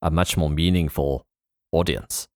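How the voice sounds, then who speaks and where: A man's voice: rumbling low, steady tone, measured pace, no room sound, studio quality. A man hosting a casual interview, speaking tentatively as he feels out his phrasing. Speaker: podcast host.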